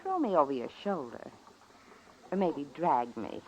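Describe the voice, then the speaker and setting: A woman's voice: low-pitched, big pitch swings, unhurried. An actress playing a defiant, taunting frontier woman, insolent and teasing in a 1950s western radio drama. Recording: dull, noisy vintage radio recording.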